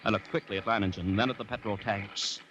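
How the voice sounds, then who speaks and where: A man acting an anxious commissioner who narrates a 1940s radio drama in a measured, dramatic way full of dread. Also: lowish voice, fairly even intonation, muffled recording, background noise, lightly acted. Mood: mounting dread. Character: anxious male government commissioner recounting a dreadful siege. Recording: restored 1940s radio broadcast.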